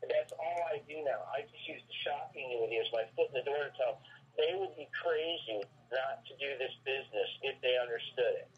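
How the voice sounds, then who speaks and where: A man's voice: mid-range voice, a little fast, slightly rough. A man giving an earnest, folksy motivational sales talk over a conference call, often repeating phrases and listing items. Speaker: network marketing business owner, guest speaker on a team call. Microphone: telephone. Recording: very muffled, tinny telephone conference line.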